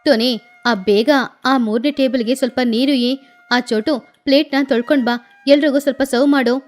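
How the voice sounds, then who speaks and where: A woman's voice: high-pitched, fairly even intonation, moderate pace, faint music behind, lightly acted. A woman voicing a stingy, scheming female crow with a brazen, bossy manner in a children's bird story.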